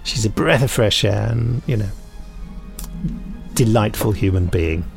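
A man's voice: on the low side, measured pace, creaky, faint music behind. A British man hosting a podcast, chatting casually with rambling, self-mocking, dryly jokey anecdotes. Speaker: podcast host and audio drama maker.

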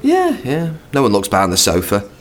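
Lively young man, kindly volunteer. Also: medium pitch, brisk. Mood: modest, friendly. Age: young adult. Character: kind, busy young male relief-camp volunteer. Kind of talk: naturalistic audio drama dialogue.

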